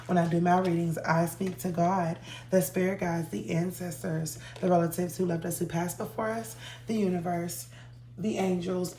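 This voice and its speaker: Hoarse woman giving a tarot reading. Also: fairly low pitch, echoey room, noisy background. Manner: relaxed, chatty, with frequent ums. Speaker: tarot reader. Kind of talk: casual tarot reading to viewers.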